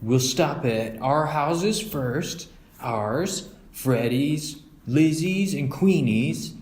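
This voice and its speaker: A man voicing an excitable, bossy young boy bear cub in a children's storybook, eager and sure of himself. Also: a little high, extremely slow, reverberant, pitched above the performer's own voice. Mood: eager, planning.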